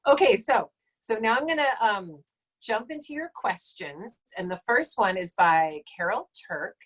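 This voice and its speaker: A woman's voice: mid-range voice, lively intonation, measured pace, slightly rough, poor audio. A woman in her late forties on a live stream, answering questions about her work in a chatty, digressive way with frequent fillers and self-corrections. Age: late 40s. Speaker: Egyptologist giving a talk and Q&A. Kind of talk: casual lecture and Q&A on a live stream.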